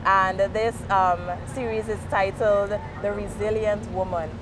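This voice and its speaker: A woman's voice: mid-range voice, little pitch movement, unhurried. A woman with a Guyanese accent hosting a street interview, chatty and encouraging with her guest. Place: outdoors by a street junction. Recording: outdoor street noise.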